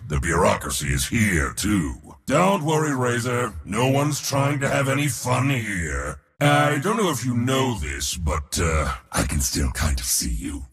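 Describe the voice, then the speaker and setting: A man's voice: mid-range voice, fairly bright tone, in a large hall, exaggerated voice. A man voicing an arrogant, mocking war god in a video game, pompous and theatrical with a sardonic edge. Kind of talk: video game character voice lines.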